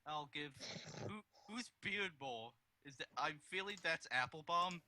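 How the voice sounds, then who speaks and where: A man's voice: a little high, expressive, a little slow, bright, forceful tone, dry recording. A man chatting on a casual group voice call, hesitant and full of fillers.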